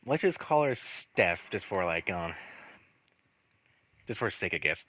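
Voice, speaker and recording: Man, casual storyteller, low-pitched, forceful bright tone, halting, rambling, sweary. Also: big pitch swings. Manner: rambling, halting, repeats filler phrases, swears freely. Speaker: host telling personal work stories.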